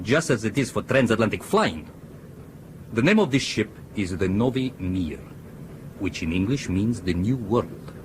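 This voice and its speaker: A man's voice: medium pitch, a little hiss or hum, close to an everyday voice. A man acting a formal, stonewalling Soviet ship's captain in a radio drama, with curt, precise speech.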